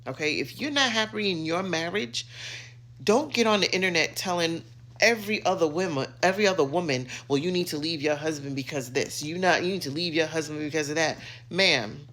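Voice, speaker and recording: A middle-aged American woman giving an opinionated rant to camera, emphatic, sassy and theatrical, with dramatic pauses and drawn-out words. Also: lowish voice, a bit bright, a little hiss or hum. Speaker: social media commentator.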